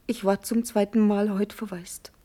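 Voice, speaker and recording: A woman plays a devoted young princess in a classical radio drama. Her voice is medium in pitch and breathy, and she declaims her verse with solemn, fervent feeling. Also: level tone, normal speed, mellow, close to an everyday voice. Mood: grieving.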